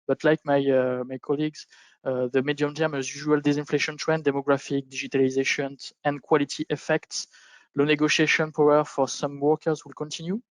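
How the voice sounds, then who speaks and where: A man speaking as an investment panelist, giving formal, measured, prepared-sounding explanations on a conference call. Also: a little high, little pitch movement, no room sound, rough recording. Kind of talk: prepared roundtable commentary on markets.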